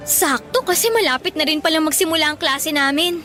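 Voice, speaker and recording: A very high, bright young female student, bubbly and teasing with a Filipino accent. She sounds like the sweetheart of a radio love drama, with faint music behind her. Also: fairly quick. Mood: upbeat. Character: cheerful, playful young female student being courted.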